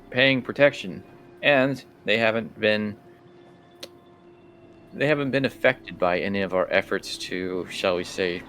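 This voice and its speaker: A man playing a courteous but menacing crime boss uncle in a tabletop game, speaking in a measured, formal, halting way. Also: medium pitch, fairly bright tone, a little hiss or hum.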